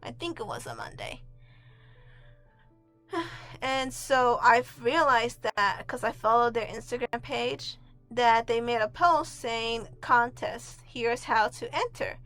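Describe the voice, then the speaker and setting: High-voiced woman, rambling casually. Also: animated, takes it slowly, fairly bright tone. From Mexico. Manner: offhand, self-deprecating, sweary. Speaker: video artist and host. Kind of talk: casual rambling voice-over commentary. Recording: amateur home recording with music underneath. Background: music.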